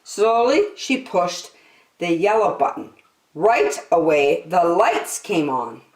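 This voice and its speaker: A woman hosting story time, reading a children's book aloud in an expressive, deliberate, sing-song way. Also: lowish voice. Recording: echoey room.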